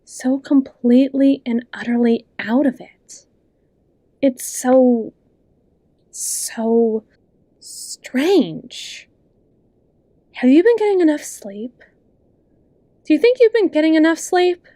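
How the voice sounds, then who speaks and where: A woman playing a possessive, manipulative best friend and hypnotist in an ASMR roleplay, coaxing and condescending in a soft, intimate voice, speaking straight to the listener. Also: high-pitched, expressive, dry recording. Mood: teasing, feigned concern. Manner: slow, coaxing, condescending, with lingering pauses.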